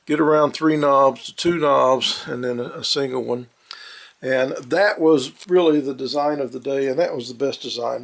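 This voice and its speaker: Gravelly man explaining an old radio. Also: mid-range voice. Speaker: presenter demonstrating an antique radio. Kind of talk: informal unscripted technical explanation.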